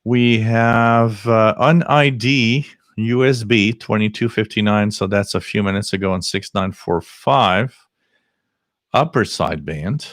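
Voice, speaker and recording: A man's voice: on the low side, unhurried, no echo, clean studio sound. A man hosting a live shortwave radio stream, joking, laughing often and reading out frequencies. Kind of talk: casual live-stream chat.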